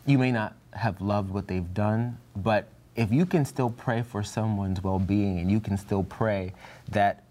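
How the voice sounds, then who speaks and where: A man's voice: lowish voice, a little slow, mellow. A man speaking reflectively and earnestly as a panelist in a Bible study discussion.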